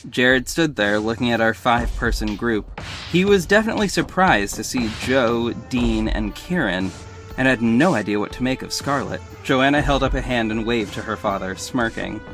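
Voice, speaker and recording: A man narrates a scripted audio drama in the first person. His voice is medium-pitched, and music plays clearly behind it. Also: expressive, moderate pace, a bit bright. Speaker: narrator and lead actor.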